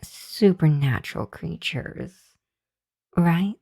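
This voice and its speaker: Female actor for nervous hellhound girl, ASMR monster roleplay. Deep for a girl, slow, apologetic, hesitant. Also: creaky, dark tone, slightly rough, exaggerated voice. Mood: cautious, hesitant. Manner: hesitant, sheepish, stammering. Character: nervous, apologetic young hellhound girl seeking work as familiar. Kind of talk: ASMR roleplay addressed to the listener.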